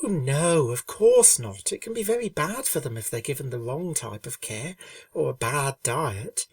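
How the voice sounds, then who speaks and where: A woman voicing a gentle, shy young female pegasus pony in a storybook reading, speaking softly and earnestly. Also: extremely low pitch, animated, moderate pace, dry recording, distant mic, full character voice. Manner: soft-spoken, earnest, kindly.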